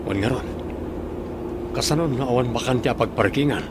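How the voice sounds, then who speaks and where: A man's voice: mid-range voice, normal speed, close to an everyday voice. A man playing a boss at the wheel in a radio drama. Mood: matter-of-fact. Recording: loud car and traffic noise.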